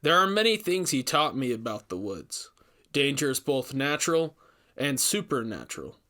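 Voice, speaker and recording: A man's voice: mid-range voice, sing-song, moderate pace, pushed and bright. A man voicing an earnest, troubled young forest ranger in a storybook reading, thoughtful and hesitant. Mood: haunted, earnest.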